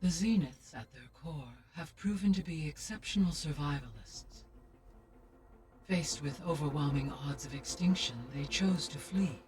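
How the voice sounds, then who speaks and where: A calm, clinical AI intelligence. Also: female voice, low voice. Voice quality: measured, clinical delivery. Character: calm, precise female AI intelligence. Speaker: AI companion in a video game. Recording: distant game audio with faint music.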